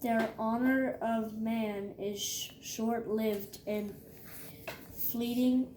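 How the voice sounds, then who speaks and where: Halting, slow female reader in echoey room. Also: medium pitch, fairly even intonation. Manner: halting, stumbling over words. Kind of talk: reading scripture aloud. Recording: echoey room with background noise.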